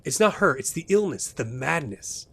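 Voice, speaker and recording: A man playing an evasive suspect in an audio drama, measured and plausible, with a defensive air of reluctant concern. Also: fairly high pitch, big pitch swings, gravelly, fairly bright tone, breathy edge, exaggerated voice. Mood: regretful, evasive.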